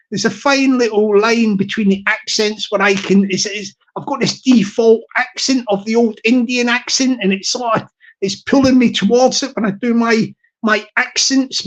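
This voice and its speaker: A man's voice: very high voice, fairly bright tone. Rambling, chuckling male live streamer. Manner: rambling, repeats words and restarts often, chuckles between phrases; hearty, upbeat. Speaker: live streamer greeting chat viewers.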